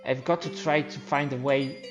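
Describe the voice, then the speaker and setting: A game player voicing a thoughtful young hero of a fantasy adventure in a hushed, earnest, reflective manner. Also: medium pitch, steady tone, normal speed, lo-fi sound, music in the background, pitched below the performer's own voice, lightly acted. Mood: wistful, resolute.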